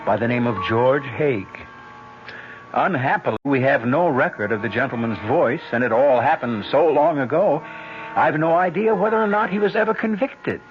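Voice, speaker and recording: Wry male radio mystery host, dull recording. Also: medium pitch. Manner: wry, theatrical. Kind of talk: scripted narration, old-time radio. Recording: dull vintage radio recording, faint music.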